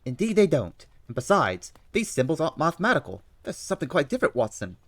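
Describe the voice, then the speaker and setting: A man voicing an excitable Victorian gentleman detective with an attempted London accent. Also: a little high, fast, dry recording, voice pushed up. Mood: keen. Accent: attempted London English.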